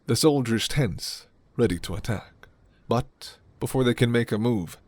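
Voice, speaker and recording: Man, narrator, scripted fantasy storytelling, mid-pitched voice, very dry recording. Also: a little slow, darkish tone, dry recording.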